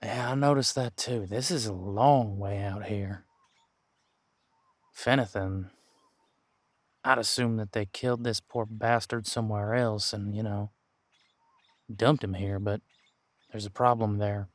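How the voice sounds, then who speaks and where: A man playing a seasoned, wry detective who patiently mentors a rookie in an audio roleplay drama, musing aloud in unhurried, folksy phrasing. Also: lowish voice, steady tone, no room sound, lightly acted. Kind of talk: roleplay audio drama, one-sided conversation.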